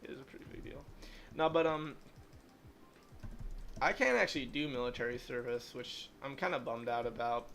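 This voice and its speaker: A man's voice: medium pitch, moderate pace, pauses often, fairly bright tone, slight background noise. An eighteen-year-old man hosting a livestream, chatting casually and rambling, with plenty of "like" fillers. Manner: rambling, heavy use of "like" fillers. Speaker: livestream host. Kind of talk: casual chat while gaming.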